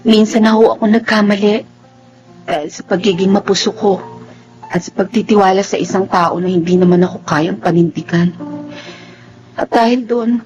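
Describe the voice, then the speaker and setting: A woman's voice: medium pitch, darkish tone, dull audio, close to an everyday voice. An actress playing a hurt, proud single mother in a radio drama, with a Filipino accent and emphatic delivery. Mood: earnest pleading.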